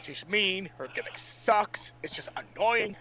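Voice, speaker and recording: A man's voice: sits high, lively intonation, a little slow, bright, forceful tone, breathy edge. A man co-hosting a podcast, bantering casually with the group. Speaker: podcast co-host.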